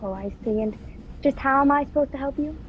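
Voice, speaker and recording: A woman's voice: high voice, a little fast, lightly acted. A woman playing a prim, sly young female train passenger in a radio play, recorded over a video call. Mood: coolly agreeable. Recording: dull, noisy home video call.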